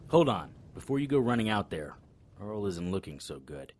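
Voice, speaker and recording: A man voicing a hard-boiled 1920s private eye, narrating in a laconic, deadpan noir style. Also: fairly low pitch, moderate pace, a little hiss or hum. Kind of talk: first-person noir narration.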